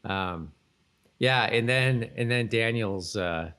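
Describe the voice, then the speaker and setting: A man co-hosting a podcast, casually recapping a TV episode in a rambling, halting way and often repeating himself. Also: lowish voice, a bit bright, clear, resonant tone. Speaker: podcast co-host.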